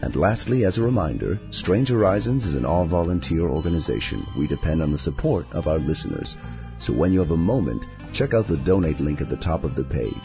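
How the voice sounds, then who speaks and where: A man hosting a poetry podcast, reading scripted material in a measured, deliberate way with a husky voice. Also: rumbling low, lively intonation, muffled recording, over music. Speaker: podcast host and poetry reader.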